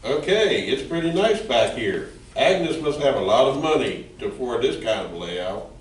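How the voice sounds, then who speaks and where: A man plays a flirtatious, slightly shady husband in an amateur radio drama. His voice is mid-pitched. The sound is roomy and distant, with faint hiss behind it. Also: sing-song, moderate pace, close to an everyday voice. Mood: nosy. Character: flirtatious smooth-talking husband, shady special assistant. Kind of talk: amateur radio play acting. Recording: echoey room, off mic, faint hiss.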